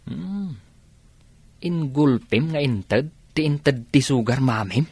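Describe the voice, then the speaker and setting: A teasing, easygoing Ilocano buddy from a radio comedy drama, acted out by a man. He swings his pitch freely and stretches his interjections at a leisurely pace. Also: animated, slow, darkish tone, breathy edge, no room sound, full character voice.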